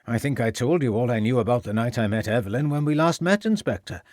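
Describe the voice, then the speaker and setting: A man voicing a loud, guarded male headmaster with a Welsh accent. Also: medium pitch, animated, fast talker, no room sound, studio quality, close to an everyday voice.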